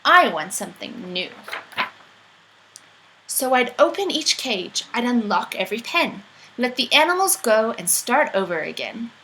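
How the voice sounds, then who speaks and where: A woman's voice: on the high side, very animated, unhurried, sharp tone, full character voice, rough recording. A woman voicing an eager, boastful, imaginative young boy in a storybook, with emphatic, sing-song rhyming delivery. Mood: eager, scheming.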